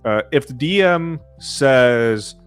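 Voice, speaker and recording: A man's voice: mid-range voice, expressive, slow, clear, resonant tone, faint music behind. A man in a casual podcast discussion, laying out hypothetical scenarios and putting questions to the others. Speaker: podcast panelist posing questions.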